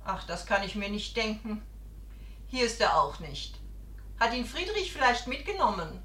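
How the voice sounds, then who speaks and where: A woman's voice: on the high side, sing-song, moderate pace, fairly bright tone, roomy sound. An actress playing an anxious, motherly woman who exclaims and calls out in a German radio drama. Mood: worried searching. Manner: alarmed, calling out, exclaiming. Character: anxious motherly woman searching for missing boy.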